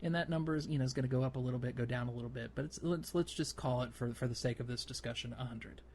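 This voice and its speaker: Gravelly-voiced man hosting, faint background noise. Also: medium pitch, racing, darkish tone. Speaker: podcast host. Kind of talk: casual gaming podcast discussion.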